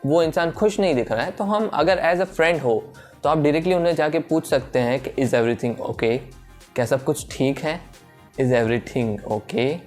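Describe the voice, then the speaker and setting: A man teaching spoken English, explaining in Hindi and repeating each English phrase slowly and clearly. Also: a little high. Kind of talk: bilingual spoken-English lesson.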